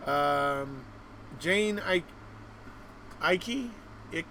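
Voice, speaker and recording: A man with a fairly high voice hosts a casual live chat, informal and a little halting as he thinks aloud. Faint noise sits behind his voice. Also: pushed and bright, low-quality recording. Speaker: live-stream host and interviewer.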